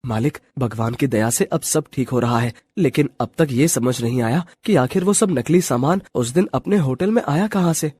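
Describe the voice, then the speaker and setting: A man playing a loyal, devoted orphan youth who helps at a hotel, respectful and earnest, in an animated story. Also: mid-range voice, moderate pace, few pauses, breathy edge, dry recording, lightly acted. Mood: puzzled, relieved. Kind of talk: animated moral-story dialogue.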